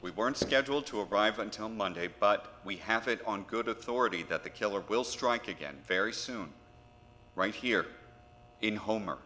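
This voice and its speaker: An actor playing an earnest, diplomatic federal agent in an audio horror drama, speaking politely and persuasively. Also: male voice, lowish voice, moderate pace, bright, forceful tone. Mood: diplomatic, insistent. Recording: very reverberant, with noise behind.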